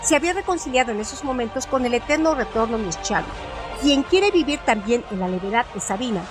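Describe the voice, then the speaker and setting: A woman hosting a radio show, reading a scripted literary analysis in a measured, formal way. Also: fairly high pitch, animated. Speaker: radio host. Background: music bed.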